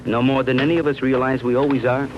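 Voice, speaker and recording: An actor playing a wry, philosophical police doctor in 1950s radio style, florid and dryly morbid. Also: male voice, medium pitch, flat delivery, fast talker, natural voice. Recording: muffled, noisy vintage radio drama.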